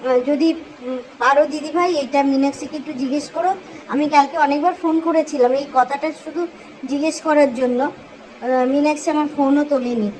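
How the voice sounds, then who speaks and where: A woman speaking informally in a voice message, explaining herself earnestly and haltingly. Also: high voice, fairly even intonation, darkish tone. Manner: earnest, self-justifying, halting. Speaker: caller leaving a voice message. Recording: noisy amateur voice message recording.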